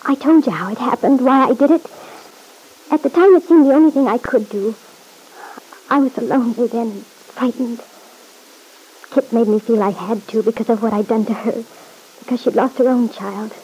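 A woman's voice: on the high side, moderate pace, long pauses, dark tone, slight background noise, close to an everyday voice, poor audio. An actress playing an anxious, guilt-ridden young mother in an old-time radio soap opera, hesitant, plaintive and defensive. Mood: remorseful.

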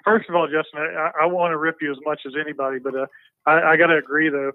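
Man in his forties, rapid stammering talk, tinny phone-like sound, dry. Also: on the high side, flat delivery, no echo. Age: 40s. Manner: fast, stammering with repeated words. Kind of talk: casual sports chat.

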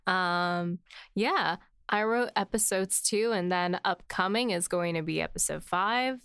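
A woman's voice: fairly low pitch, measured pace, a bit bright, clean tone, no echo, clean studio sound. A woman co-hosting a podcast in casual chat, with informal phrasing peppered with "like". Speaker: podcast co-host, producer and writer.

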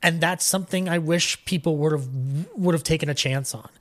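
A man's voice: on the high side, brisk, fairly bright tone. Rambling, enthusiastic man chatting as a guest. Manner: rambling, enthusiastic fan talk. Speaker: podcast guest. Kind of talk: casual interview chat.

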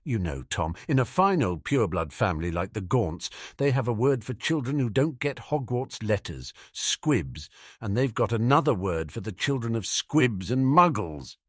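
A man voicing a cocky, mocking teenage boy wizard who talks quickly and showily. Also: on the low side, sing-song, dry recording, studio quality. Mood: gloating. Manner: sneering, quick, showy.

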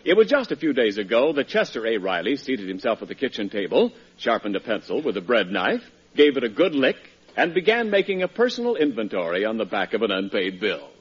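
A man's voice: medium pitch, very animated, fairly quick. A man announcing and narrating in grand, scripted 1940s radio style. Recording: dull vintage radio recording, husky sound.

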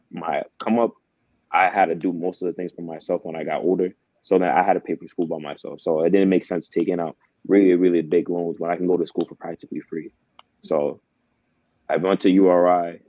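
A man's voice: low-pitched, darkish tone, dry recording. A young man telling his story in a laid-back, slangy way as a podcast guest. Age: young adult. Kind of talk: casual conversation. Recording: dull, dry lo-fi sound.

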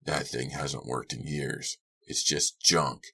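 An extremely low-voiced, scruffy drunk played by a male narrator from an audiobook. He slurs and drawls his words, crude and taunting, with a leering edge. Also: steady tone, takes it slowly, vocal fry, bright, forceful tone, breathy edge, full character voice. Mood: dismissive. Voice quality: slurred. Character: drunken, mocking, leering scraggly-bearded man. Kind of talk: audiobook character voice.

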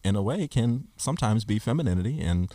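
A man's voice: on the low side, animated, fairly quick, dark tone, dry recording. A man hosting a podcast discussion, talking reflectively in long explanatory runs. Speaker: podcast host. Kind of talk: casual discussion.

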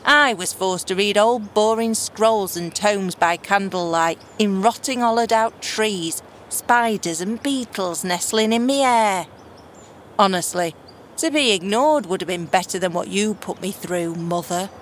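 A woman's voice: medium pitch, unhurried, slight background noise, lightly acted. A woman playing a bitter, defiant runaway daughter of a monarch in a fantasy audio drama, sarcastic and blunt, with slangy complaints.

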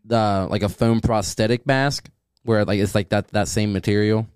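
Throaty, excitable man podcast co-host. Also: lowish voice, normal speed, clear, resonant tone, dry recording, clean studio sound. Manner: excitable, swears freely. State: throaty, voice deepened by something in his throat. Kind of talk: casual podcast chat. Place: studio room beside co-host.

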